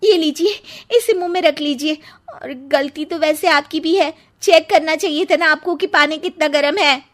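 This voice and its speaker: A woman's voice: high voice, normal speed, lightly acted. A woman playing a sarcastic, aggrieved young daughter-in-law in a cartoon story, with a complaining manner. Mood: matter-of-fact.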